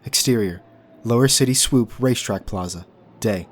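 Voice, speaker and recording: Low-voiced man narrating scene directions. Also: normal speed. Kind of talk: scripted narration of screenplay directions. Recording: faint background noise and ambience.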